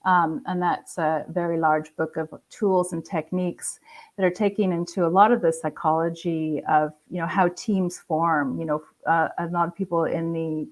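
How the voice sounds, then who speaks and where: A woman who works in change management, answering interview questions in measured, step-by-step explanations over a video call. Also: sits low, rough recording. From not from Australia. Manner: measured, walks through history step by step.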